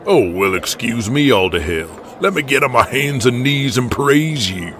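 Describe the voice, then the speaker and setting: A man's voice: medium pitch, big pitch swings, normal speed, a bit bright, pitched above the performer's own voice. Man putting on a combative crotchety old wrestling promoter. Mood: sarcastic. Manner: gruff, combative, folksy cursing. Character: belligerent crotchety old Southern wrestling promoter, foul-mouthed.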